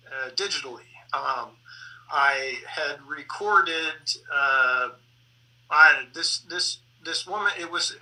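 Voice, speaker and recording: Halting middle-aged man, very bright voice. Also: a little high, recorded from a distance, low-quality recording. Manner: halting, broken phrasing with many pauses and self-corrections. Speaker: sound designer and composer for radio plays. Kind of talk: conversational panel talk about theater production.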